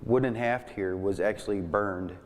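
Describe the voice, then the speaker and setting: A man's voice: on the low side, normal speed. A man explaining history as a cultural specialist in an interview. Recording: reverberant hall with faint noise.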